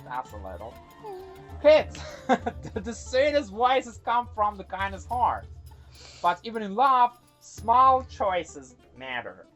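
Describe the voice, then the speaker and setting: A woman's voice: mid-range voice, very animated, slow pace, fairly bright tone, soft background music, rough recording. A woman from Iran telling stories for children in a dramatic, playful way, with casual asides. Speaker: storyteller and host.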